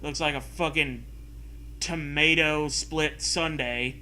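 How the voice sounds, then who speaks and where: A man's voice: on the high side, steady tone, slow pace, pushed and bright, a little hiss or hum. A man doing a mocking dramatic reading with casual riffing, sarcastic, profane and prone to hammy emphasis. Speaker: comedy co-host reading fan fiction aloud.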